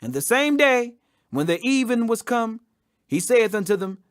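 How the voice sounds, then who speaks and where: A man's voice: very high voice, very animated, moderate pace, dry recording, clean studio sound. A man reading scripture aloud as a scripted audiobook, with an emphatic, declamatory delivery. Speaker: Bible reader.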